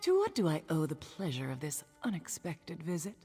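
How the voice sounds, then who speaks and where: A woman's voice: low-pitched, huge pitch swings, normal speed, a little mellow, slightly rough, soft background music, heavily acted. A woman voicing a haughty, worldly female governor in a video game, with a pompous, condescending manner. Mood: haughty, sardonic. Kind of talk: dramatic video game dialogue.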